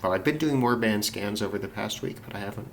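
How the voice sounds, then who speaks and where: A man's voice: on the low side, fast. A man presenting a hobby radio demonstration in casual, unscripted talk, musing and thinking aloud. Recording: clearly noisy hobby recording.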